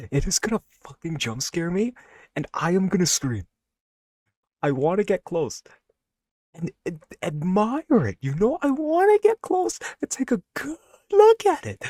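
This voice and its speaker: A man's voice: sits high, very animated, normal speed, a little mellow, dry recording, clean studio sound. A man giving casual, jittery, profane reaction commentary with dramatic responses. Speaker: reaction video host.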